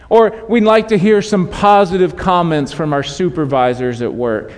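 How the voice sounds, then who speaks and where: A man's voice: high-pitched, darkish tone. A man preaching a sermon to his congregation in a conversational way with light humor. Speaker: pastor. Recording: long hall reverb.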